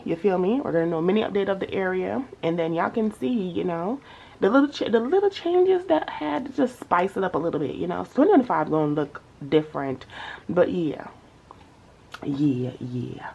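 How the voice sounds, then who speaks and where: A young woman vlogs in a loose, slangy chat, calling her viewers y'all. Her nose sounds stuffy, and her voice sits low with a gravelly, husky edge. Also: a little mellow, highs cut off. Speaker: budgeting vlogger. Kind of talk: casual chat to camera.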